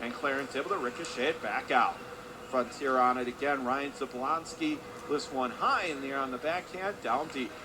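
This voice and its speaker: A man's voice: medium pitch, moderate pace. A man calling a hockey game live for radio as play-by-play announcer, in clipped running phrases that lift with the action. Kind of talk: live radio sports commentary. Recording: clear background noise of an ice rink.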